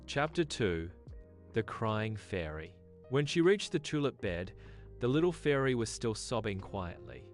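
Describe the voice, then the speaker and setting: A woman's voice: a bass voice, big pitch swings, unhurried, a little mellow, soft background music. A woman narrating a scripted bedtime story for children.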